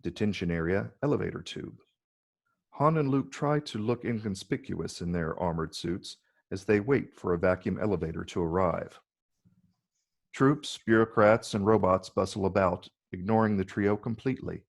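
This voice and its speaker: A man with a gravelly, husky voice reading scripted screenplay narration. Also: low-pitched, moderate pace. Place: virtual call from separate location.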